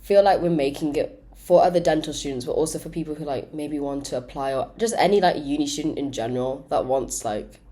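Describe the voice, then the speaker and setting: A woman's voice: sits low, a little fast, hardly any pauses, warm tone. A nineteen-year-old woman with an English accent, chatting informally as a podcast co-host and often peppering her sentences with "like". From Birmingham, England. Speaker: podcast co-host, dental student. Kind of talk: casual chat.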